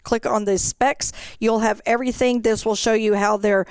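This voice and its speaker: Clear, higher-pitched woman giving instructions. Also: fairly even intonation, normal speed, no room sound, studio quality. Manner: instructional, step-by-step explaining. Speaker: contest organizer presenting an information session. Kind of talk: informational presentation to directors.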